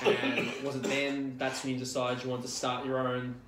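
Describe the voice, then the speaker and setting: A man's voice: mid-range voice, monotone, moderate pace, echoey room, a crowd in the background. A man hosting a podcast, giving short agreeing prompts to his guest. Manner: brief agreeing backchannels. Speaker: podcast host. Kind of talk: podcast interview.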